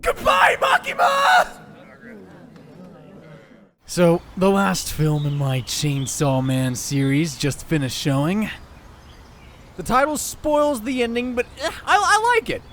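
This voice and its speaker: A man's voice: high-pitched, sing-song, slow, long pauses, fairly bright tone, a little hiss or hum, heavily acted. A man playing a brash, emotional teenage boy in an anime fan dub, swinging between hesitation and enthusiasm. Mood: exuberant, then pleased.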